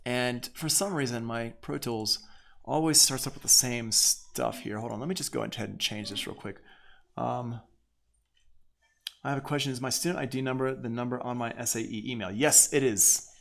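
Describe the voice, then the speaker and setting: A man gives a casual, live online lesson in audio production. His voice sits at a medium pitch with a noticeable fry and creak. He talks informally, thinking aloud as he clicks through the steps. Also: moderate pace, a bit bright.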